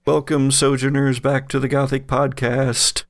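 A man with a gravelly voice hosting a show, moving between scripted reading and casual talk. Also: medium pitch, flat delivery, unhurried, loud music behind the voice. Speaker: podcast host.

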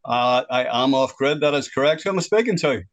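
A sixty-something man with a Northern Irish accent holds forth on a radio phone-in. He is earnest and opinionated and speaks in a middle-pitched voice. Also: expressive, fairly quick. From Northern Ireland. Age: 60s. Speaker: radio guest.

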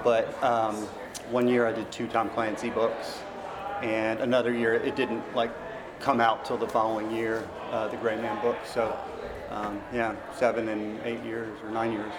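A man's voice: fairly low pitch, level tone, measured pace, very noisy. A middle-aged American man from Tennessee, a novelist in a casual interview, telling anecdotes in a self-deprecating way. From Memphis, Tennessee, United States.

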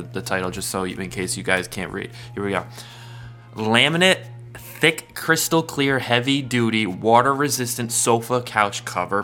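A man plays a pushy shopping-channel host, with a bright, forceful salesman's push. He hypes the product straight at the viewers at home. This is a comic bit, close to his own voice. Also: natural voice. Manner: salesy, hyped pitch to viewers.

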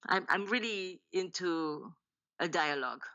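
Low-voiced woman in her forties from Israel, thoughtful, restart-prone storyteller on a muffled line. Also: bright, forceful tone. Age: 40s. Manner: thoughtful, halting, many restarts and fillers, laughs often. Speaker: novelist guest. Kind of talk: podcast interview, personal storytelling.

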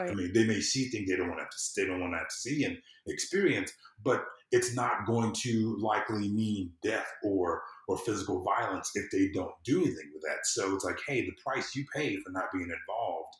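American man, middle-aged, low voice, conversational podcast guest, storytelling manner, room echo on the recording. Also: lively intonation, a little fast, hardly any pauses, a bit bright. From southern United States. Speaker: podcast guest, civic empowerment consultant. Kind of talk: casual conversational interview. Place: quiet room in a friend's home. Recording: roomy echo around the voice.

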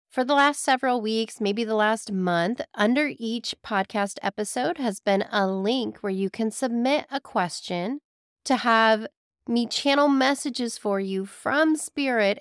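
A sick woman with a raspy, weak voice talks to her listeners in an earnest, soothing way. Her voice sits a little high, and the sound is close and dry. She is a psychic medium hosting a spiritual podcast. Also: no echo. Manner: earnest, gently reassuring, addresses listeners fondly. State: sick, hoarse. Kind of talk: casual spiritual podcast monologue.

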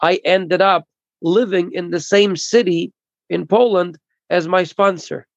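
A man interpreting at a recovery meeting over a video call, halting and restarting his phrases. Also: high voice, fairly even intonation, vocal fry, no echo. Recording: dull lo-fi video call audio.

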